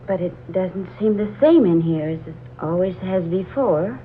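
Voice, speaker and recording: A woman's voice: fairly low pitch, animated, normal speed, natural voice. Actress as uneasy woman on muffled old radio. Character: Laura, uneasy young woman sensing strangeness. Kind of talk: 1940s radio acting. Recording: muffled, hissy 1940s radio recording.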